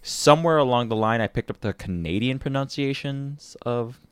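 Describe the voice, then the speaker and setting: A man's voice: medium pitch, moderate pace, clean tone, dry recording. A man from Michigan with a slight northern Midwestern accent, co-hosting a review podcast in an easygoing way, often repeating words. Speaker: podcast co-host. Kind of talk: casual review chat.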